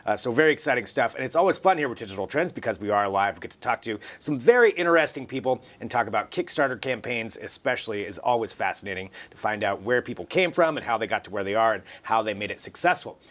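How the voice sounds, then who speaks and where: A man's voice: fairly low pitch, lively intonation, quick pace, few pauses, a bit bright. A man hosting a live online show, presenting and interviewing in a chatty, conversational, unscripted way.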